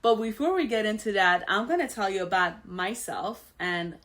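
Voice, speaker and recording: A woman's voice: medium pitch, lively intonation, moderate pace, a bit bright. Iranian-accented woman telling her own story. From Iran. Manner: candid, with frequent fillers and restarts. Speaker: host. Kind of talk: personal story monologue.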